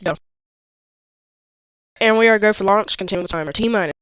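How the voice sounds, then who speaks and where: A man with a stuffy, nasal voice giving hobby launch countdown commentary, halting with many fillers. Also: high voice, fairly bright tone, slight background noise. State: stuffy nose.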